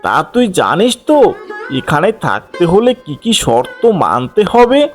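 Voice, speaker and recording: A man's voice: a little high, huge pitch swings, measured pace. A man playing a greedy, heartless village headman in a cartoon, haughty and dismissive, with music behind. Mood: condescending. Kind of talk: cartoon folk tale dialogue. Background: background music.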